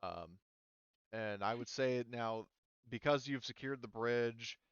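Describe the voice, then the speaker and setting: A man running a tabletop game as game master, talking casually and haltingly as he thinks aloud. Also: medium pitch, clear, resonant tone, no room sound, lo-fi sound.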